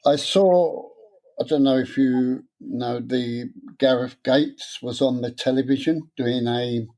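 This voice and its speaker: A man's voice: medium pitch, fairly even intonation, slow pace, dark tone, poor audio. A man, a guest in an interview, speaking casually with a stammer, often repeating words and hesitating.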